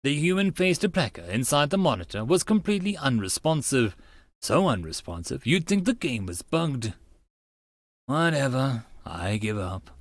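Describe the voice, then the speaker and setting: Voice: medium pitch, big pitch swings, moderate pace, no room sound. An audiobook narrator giving a theatrical, emphatic first-person reading of an anime-style story. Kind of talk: dramatic first-person reading of a visual novel.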